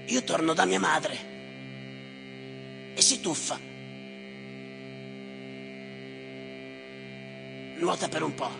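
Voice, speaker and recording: An actor playing a cynical, self-important general in a stage monologue, very raspy, sardonic and emphatic. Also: male voice, on the high side, full character voice. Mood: grim, haunted. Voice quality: very raspy, gravelly. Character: cynical, self-important, foul-mouthed male general running migrant crossings. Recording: reverberant hall with live music.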